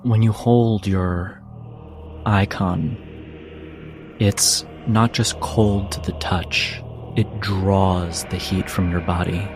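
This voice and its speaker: A man narrating a tabletop role-playing game as game master, in deliberate, broken-up phrases. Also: low voice, level tone, over music.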